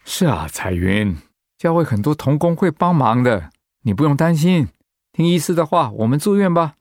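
An actor playing a caring pastor and husband in a radio drama, speaking earnestly. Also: male voice, on the high side, sing-song, moderate pace, darkish tone, no room sound, clean studio sound. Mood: gently reassuring.

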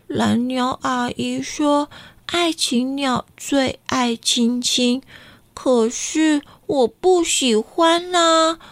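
Woman doing a shy little boy lovebird, storybook voice, drawn-out, plaintive, dry close sound. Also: high-pitched, no room sound, voice pushed up, heavily acted. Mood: sad.

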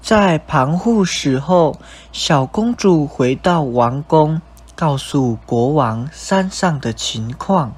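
A man's voice: fairly high pitch, expressive, takes it slowly, slightly rough. A young man with a Taiwanese Mandarin accent reading scripted narration for a radio drama. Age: young adult. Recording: music bed behind narration.

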